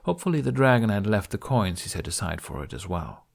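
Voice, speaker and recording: A man's voice: lowish voice, sing-song, fast, creaky. A man reading scripted fiction as an audiobook narrator.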